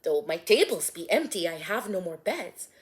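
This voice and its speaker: Kindly innkeeper guy, read by a woman, plain and quiet. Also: fairly low pitch, very animated, moderate pace. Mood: apologetic. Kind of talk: rhyming storybook reading.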